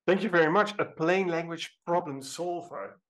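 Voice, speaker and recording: A man hosting a podcast interview, steering the conversation briskly with questions. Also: a little high, a bit bright, poor audio. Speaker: podcast host.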